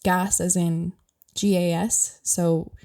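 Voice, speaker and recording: A woman's voice: lowish voice, flat delivery, takes it slowly, warm tone. A young Irish woman with a mild Irish accent hosting a podcast, chatting casually while sick with a cough and laughing often as she explains things. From Ireland. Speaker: podcast host.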